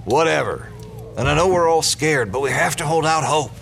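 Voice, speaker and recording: A man playing a steady, earnest small-town sheriff in an audio drama. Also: fairly high pitch, normal speed, vocal fry, a little hiss or hum. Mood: resolute, reassuring. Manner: earnest, practical.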